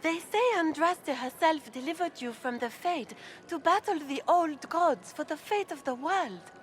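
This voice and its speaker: A woman's voice: sits high, expressive, unhurried. An actress playing a curious, gossipy noblewoman in a fantasy video game, refined and airy in manner. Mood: curious, amused. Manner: refined, airy, eager for tales. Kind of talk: video game dialogue acting.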